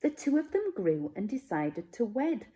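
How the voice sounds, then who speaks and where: Slow, expressive woman reading a story. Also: a little high, rough recording. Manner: measured, dramatic phrasing for children. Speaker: teacher reading a children's story. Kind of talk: expressive rhyming storybook narration.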